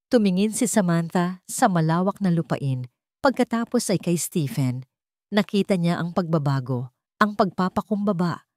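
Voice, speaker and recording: A woman narrating a scripted romance drama in a measured, sentimental way. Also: fairly low pitch, darkish tone, no room sound, studio quality. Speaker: story narrator.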